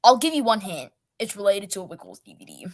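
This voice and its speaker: A woman's voice: mid-range voice, lively intonation, fast. A woman guest chatting casually on a video call, teasing and quizzing the others.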